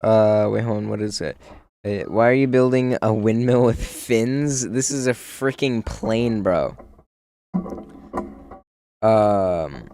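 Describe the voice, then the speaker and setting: A man's voice: lowish voice, slow pace, pauses often, mellow. A male streamer chatting casually while building in a game, muttering to himself and calling his viewers "bro".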